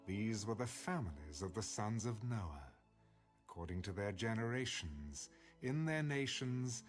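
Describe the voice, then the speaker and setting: A man reading scripture as an audiobook narrator in a measured, solemn manner. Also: fairly low pitch, very animated, soft background music.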